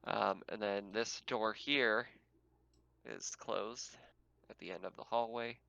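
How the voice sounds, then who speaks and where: A man's voice: fairly low pitch, steady tone, slow, creaky, bright, forceful tone, clear, resonant tone, lo-fi sound. A man narrating a tabletop roleplaying game as game master in an easygoing, casual way. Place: voice chat of an online game session.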